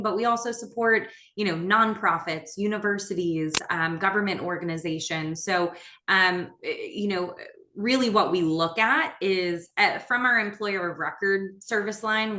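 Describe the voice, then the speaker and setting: A woman's voice: fairly low pitch, bright, forceful tone. A woman representing a business service, talking casually and professionally on a networking video call. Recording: roomy echo, faint background noise.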